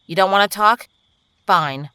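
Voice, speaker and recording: A woman's voice: medium pitch, measured pace, bright, forceful tone, smooth, no room sound. A woman playing a worried young girlfriend in an audio drama, firm and confronting. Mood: stern, worried. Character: worried, stern young girlfriend demanding answers.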